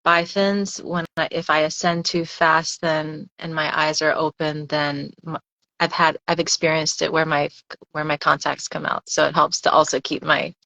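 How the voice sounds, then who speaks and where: A woman guest explains freediving in a thoughtful, halting way, often doubling her words. Her slightly low voice sounds bright and forceful. The line is dry and a little dull. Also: level tone, gravelly, no room sound. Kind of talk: casual podcast interview.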